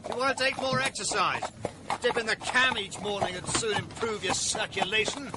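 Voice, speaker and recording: A young actor playing a jovial, pushy student in a radio drama, talking in a breezy way. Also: male voice, sits high, moderate pace, very noisy, natural voice. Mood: hearty. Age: young adult. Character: hearty, sporty young English student.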